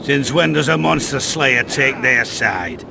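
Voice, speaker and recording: An actor playing an edgy mercenary soldier in a video game, tense and forceful with a gravelly voice. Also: male voice, medium pitch, lively intonation, unhurried, noisy background. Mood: challenging. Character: edgy rank-and-file male mercenary soldier. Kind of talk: video game character acting.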